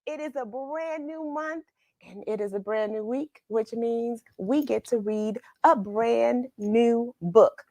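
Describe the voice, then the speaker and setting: A woman hosting a live read-along for children, chatting with viewers in an upbeat, excited manner. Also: fairly high pitch, slow, no echo.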